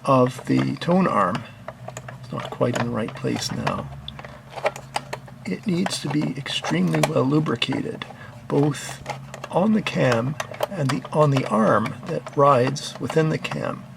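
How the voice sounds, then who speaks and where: A man with a gravelly voice plainly and methodically narrating a hands-on repair as the host of a hobby video. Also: mid-range voice, lively intonation, slow pace, noisy background.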